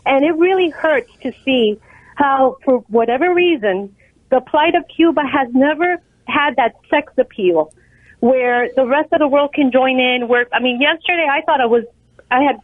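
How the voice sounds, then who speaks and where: A woman's voice: sits high, normal speed, no room sound. An American woman calling a radio show over a phone line, talking earnestly and emphatically about politics. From United States. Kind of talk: earnest political call-in talk. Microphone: telephone.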